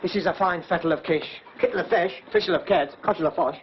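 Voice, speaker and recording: An actor playing a cheeky, joking male partner in an escort agency, full of quick wisecracks and tongue-twisted banter, in a 1940s British film comedy. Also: high-pitched, a little hiss or hum, close to an everyday voice. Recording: dull 1940s film soundtrack.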